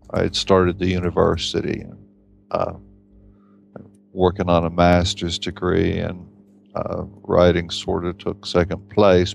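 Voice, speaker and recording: Slow, gravelly low-voiced man. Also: slight background noise. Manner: wry, reflective, halting. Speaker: novelist being interviewed. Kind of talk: casual interview storytelling. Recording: slightly dull lo-fi recording.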